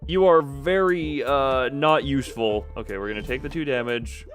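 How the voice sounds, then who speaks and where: A man giving casual live commentary on a game as he streams, thinking out loud and often breaking off mid-sentence. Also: medium pitch, moderate pace, soft background music.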